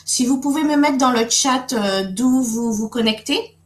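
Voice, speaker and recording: A woman's voice: fairly high pitch, measured pace. Middle-aged woman from Guadeloupe hosting a webinar, friendly, lots of fillers, roomy sound. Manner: friendly, many fillers and restarts. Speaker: webinar host and coach. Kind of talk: live webinar talk.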